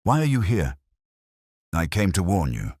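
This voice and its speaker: Man playing gruff male inspector, low husky voice, brisk, blunt, audiobook mystery. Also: very animated, a little mellow, dry recording, clean studio sound. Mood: startled.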